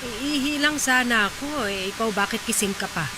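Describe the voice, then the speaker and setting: A woman playing a worried, loving mother of fifty in a Tagalog radio drama, fretful and gently scolding. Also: a little high, normal speed, music in the background, natural voice, rough recording. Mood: fretful concern.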